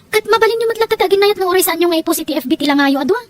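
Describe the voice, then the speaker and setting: A very high-pitched, fast-talking young daughter in a radio-style drama acted by a woman. She nags and scolds, full of reproach and disapproval. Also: expressive. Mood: scolding. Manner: nagging, reproachful. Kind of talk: Ilocano audio drama dialogue.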